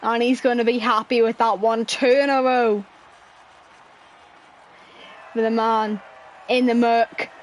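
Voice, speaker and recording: A woman giving excited sim racing commentary while losing her voice. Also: on the high side, slow, pauses often, poor audio. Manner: excitable, laughs at herself. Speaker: race commentator.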